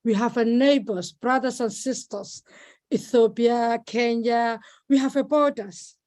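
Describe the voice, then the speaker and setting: A woman from Somalia with a Somali accent speaks at an online meeting over a video call, halting and repeating words, with many fillers. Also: a little high, steady tone, darkish tone. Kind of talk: informal speech at an online meeting.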